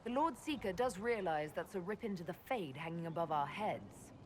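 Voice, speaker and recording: An actress playing a composed, sceptical heroine in a fantasy game, with a dry, understated manner. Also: female voice, fairly low pitch, lively intonation, normal speed, slight background noise, natural voice. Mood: sceptical, wry. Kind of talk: naturalistic fantasy game acting.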